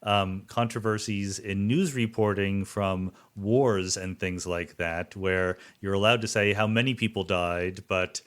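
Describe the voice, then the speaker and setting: A man with a fairly low voice hosts a conversational interview. He muses casually and reflectively, checking in with the listener as he goes. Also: fairly even intonation, unhurried, few pauses, fairly bright tone. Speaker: podcast host.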